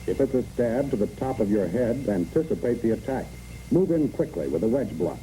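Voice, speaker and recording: A man's voice: mid-range voice, very animated, brisk, warm tone, slight background noise. A man reads scripted instructional narration for a training film in clipped, imperative phrases, on a muffled old film recording.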